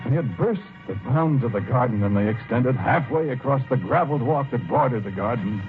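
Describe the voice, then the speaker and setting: An actor playing a haunted, fearful husband in an old-time radio horror drama, speaking slowly and haltingly with brooding, heavy pauses. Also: male voice, medium pitch, expressive, natural voice. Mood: sad, awed. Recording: muffled, tinny vintage radio recording with music. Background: loud music.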